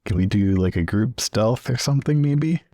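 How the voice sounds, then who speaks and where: A man's voice: medium pitch, expressive, moderate pace, mellow, dry recording, clean studio sound. A man chatting casually as a player in a tabletop roleplaying game.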